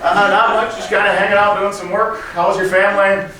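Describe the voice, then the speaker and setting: A man's voice: sits high, little pitch movement, fairly quick, sharp tone, pitched above the performer's own voice. Man as a scheming, chummy finance chief, echoey room. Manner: chummy, coy, hesitant hinting. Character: smooth-talking scheming male corporate finance chief, feigning innocence. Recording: echoey room, distant mic, background noise.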